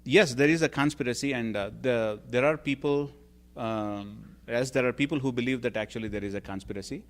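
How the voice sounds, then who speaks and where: A man's voice: medium pitch, a little fast. Hesitant male Q&A moderator. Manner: hesitant, lots of ums and uhs. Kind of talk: reading out audience questions. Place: church hall.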